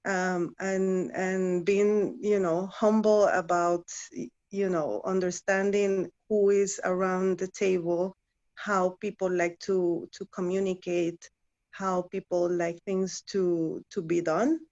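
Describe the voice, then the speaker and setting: A woman in her thirties from Guatemala, speaking English as a second language after Spanish, talks slowly and haltingly, restarting her phrases. Her voice sits fairly low. The audio is a bit dull and muffled. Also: level tone, darkish tone. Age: 30s. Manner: halting, many restarts and fillers. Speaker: interview guest, former client. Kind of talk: casual interview chat.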